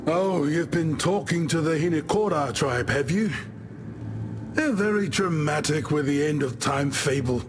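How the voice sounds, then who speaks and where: Laid-back island warrior chief from a video game, wry storyteller, voice swings up and down a lot, music playing under it. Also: male voice, fairly high pitch, lively intonation, measured pace. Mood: solemn, assured. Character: easygoing warrior chieftain of an island tribe, dead in afterlife. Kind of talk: video game character dialogue. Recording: music behind the voice.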